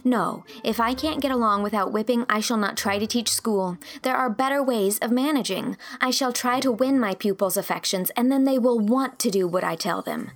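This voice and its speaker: A woman's voice: on the high side, normal speed, lightly acted. A woman voicing an earnest, idealistic young female teacher in an audiobook, speaking emphatically and pleadingly. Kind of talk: audiobook character dialogue.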